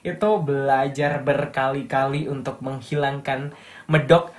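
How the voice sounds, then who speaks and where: A man's voice: medium pitch, steady tone, measured pace. A young man from Malang with a light Javanese accent, chatting as an interview guest about his radio work in an upbeat, motivational way. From Malang, East Java. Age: young adult. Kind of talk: casual interview chat.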